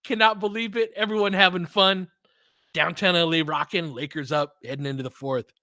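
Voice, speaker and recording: A young man doing live play-by-play commentary, excitable, with quick asides and frequent laughs. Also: high-pitched, no room sound, clean studio sound. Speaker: fan play-by-play host.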